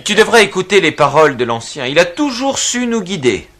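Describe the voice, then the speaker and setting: An actor voicing a loyal, earnest clan member who speaks firmly in a cartoon dub. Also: male voice, high-pitched, sing-song, a little fast, fairly bright tone. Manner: firm, earnest.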